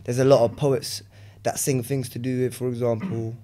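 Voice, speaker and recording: A young man from West London with a London accent, a rapper chatting as a podcast guest, relaxed and rambling, often asking "you know what I'm saying". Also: mid-range voice, normal speed, faint music behind. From West London, England. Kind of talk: casual podcast chat.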